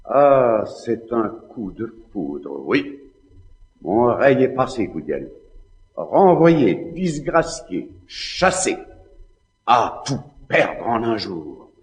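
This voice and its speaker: Deep-voiced, imperious, vengeful nobleman. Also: very animated, very slow, heavily acted. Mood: outraged, bitter. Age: middle-aged. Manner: theatrical, declamatory. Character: proud disgraced Spanish nobleman, vengeful, imperious, scheming. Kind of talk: classical French stage drama acting. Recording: slightly dull, long hall reverb.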